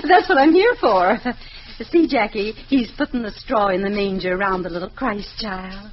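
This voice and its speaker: A woman's voice: mid-range voice, moderate pace, natural voice. An American actress playing a humble, kindly mother and store cleaner in an old-time radio drama, deferential and a little hesitant. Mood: warm, admiring. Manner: deferential, gentle, slightly hesitant. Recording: muffled, noisy old radio recording.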